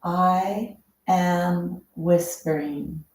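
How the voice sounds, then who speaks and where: A woman instructor leading a guided breathing meditation in a calm, soothing, measured way, leaving long pauses. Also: on the low side. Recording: very echoey, reverberant room.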